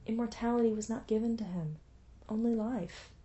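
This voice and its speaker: Sad gentle royal mother pleading softly, a woman reading her lines, a bit higher. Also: moderate pace, highs cut off, natural voice. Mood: tender. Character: tender, sorrowful royal mother.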